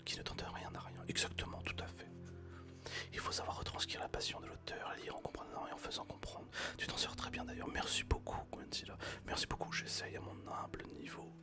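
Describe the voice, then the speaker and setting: A whispering ASMR streamer from France, chatting with viewers and slowly reading a novel aloud. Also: loud music behind the voice. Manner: soft, slow, soothing whisper.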